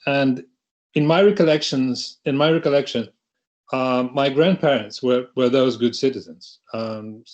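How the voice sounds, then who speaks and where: Slow, halting Russian man on a call. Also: medium pitch, muffled recording. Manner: hesitant, halting, many restarts. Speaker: panel speaker. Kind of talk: online panel talk. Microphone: video call.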